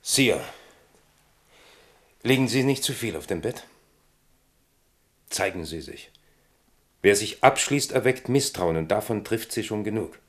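Royal confidant for radio play, deep voice, loyal, frank, firmly admonishing. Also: male voice, lively intonation, a little slow, pauses often. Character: loyal, frank, steadying attendant of a king. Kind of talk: German radio drama acting.

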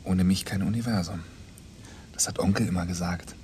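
A man's voice: sits low, steady tone, a little mellow, slight background noise, lightly acted. An actor playing a shy, lonely young man in a crime radio play, answering hesitantly and awkwardly. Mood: quiet, resigned. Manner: hesitant, halting short answers. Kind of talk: 1990s German crime radio play acting.

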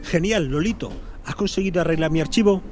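An actor with an accent from Spain playing an eager young traveller in a scripted audio drama. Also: male voice, on the high side, quick pace, a little mellow, slight background noise, lightly acted.

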